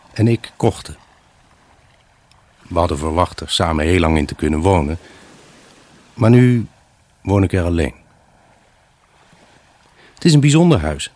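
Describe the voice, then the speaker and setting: An actor playing a wistful, evasive husband left alone, in a halting, pensive radio drama monologue. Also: male voice, sits low, darkish tone, no echo. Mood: wistful, resolute. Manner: halting, pensive, addressing an unseen buyer. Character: wistful, evasive abandoned husband selling his house.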